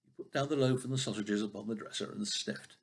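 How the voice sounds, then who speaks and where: An older man reads a children's tale aloud in a rough, husky voice with plenty of creak. He is expressive in the manner of a grandfather at storytime, and now and then trips over a word. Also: lowish voice, fast. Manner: expressive with occasional stumbles over words.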